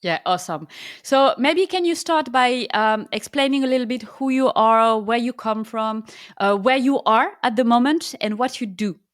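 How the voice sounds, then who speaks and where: A woman's voice: a little high, moderate pace, dry recording, studio quality. A woman hosting a podcast, welcoming her guest and asking questions in a friendly, encouraging way. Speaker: podcast host. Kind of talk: podcast interview.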